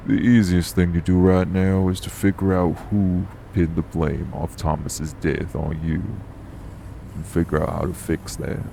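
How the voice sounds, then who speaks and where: A man acts out a shady, long-lived supernatural man in an urban fantasy audio drama. His voice is extremely low and full of gravel, kept to a narrow, flat line. He sounds guarded and world-weary, with faint vehicle noise behind him. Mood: serious, focused. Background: vehicle on the road.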